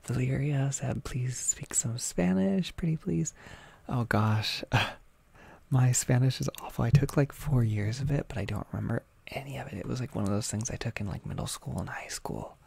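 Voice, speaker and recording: A young adult with an American accent chats casually on a livestream, reading and answering comments in a soft-spoken, hesitant, rambling way. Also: mid-range voice, moderate pace, gravelly, husky, no room sound. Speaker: ASMR audio creator livestreaming.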